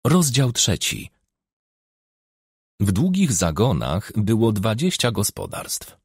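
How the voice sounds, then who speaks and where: Male narrator, husky and rough, lively intonation, scripted crime fiction reading, dry studio sound. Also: lowish voice, slow, no echo. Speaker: audiobook narrator. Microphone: close studio microphone.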